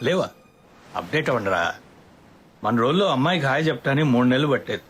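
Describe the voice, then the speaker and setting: A man's voice: medium pitch, very animated, fast, a bit bright, soft background music. An older man acting a playful, youthful-minded retired father in a film comedy, jokey and mock-philosophical. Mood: playful, defensive.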